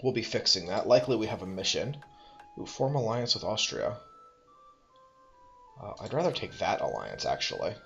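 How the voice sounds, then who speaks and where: A man's voice: lowish voice, big pitch swings, normal speed, creaky, long pauses, a bit bright, dull audio, faint music behind. A man thinking aloud as he plays a strategy game, musing, correcting himself and making dry asides. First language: English. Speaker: let's play host.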